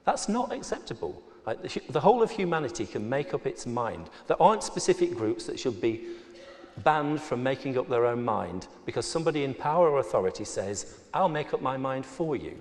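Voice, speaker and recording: A man speaking as a debate panelist, arguing his case forcefully and with emphasis in front of an auditorium audience. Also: mid-range voice, sing-song, a little fast. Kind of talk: formal debate speech and argument. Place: large auditorium. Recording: long hall reverb.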